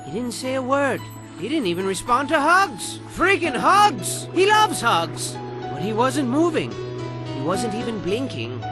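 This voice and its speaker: A flamboyant high-pitched game narrator. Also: male voice, huge pitch swings, unhurried, heavily acted. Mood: melodramatic. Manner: theatrical, rambling, swings from grandiose to petulant. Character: flamboyant, excitable adult male storyteller narrator. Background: game music.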